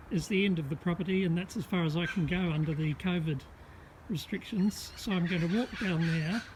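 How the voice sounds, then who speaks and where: Higher-pitched man, echoey noisy recording. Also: darkish tone. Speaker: product reviewer. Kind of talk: casual product demonstration talk. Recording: echoey with clear background noise.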